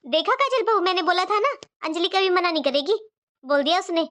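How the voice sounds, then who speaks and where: A woman playing a high-pitched, fussing cartoon mother-in-law. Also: a little fast, a bit bright. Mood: reassuring, instructing. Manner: fussing, insistent, repeats herself. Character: fretful, caring middle-aged mother-in-law. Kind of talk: animated family drama dialogue.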